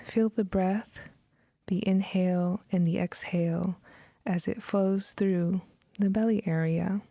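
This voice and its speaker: A woman leading a guided meditation in a soft, breathy, measured manner with long pauses. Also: on the low side, steady tone, no room sound, studio quality. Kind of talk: guided meditation, scripted narration.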